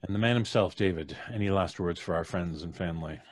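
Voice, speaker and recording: Low-voiced man hosting a casual live stream. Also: quick pace. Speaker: host of a podcast live stream. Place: indoors at home, remote group call.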